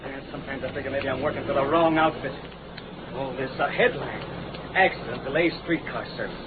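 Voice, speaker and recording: Fast-talking brash reporter actor, noisy old radio. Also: male voice, mid-range voice, phone-quality sound, lightly acted. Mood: exasperated. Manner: slangy, punchy wisecracking. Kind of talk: 1930s radio drama acting. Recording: noisy, thin 1930s radio recording.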